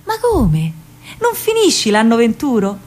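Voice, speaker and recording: A woman's voice: fairly high pitch, huge pitch swings, measured pace, a little mellow, exaggerated voice. A woman voicing an awkward, lovesick Roman boy who pleads hesitantly, with a Roman accent, in a radio monologue. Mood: pleading.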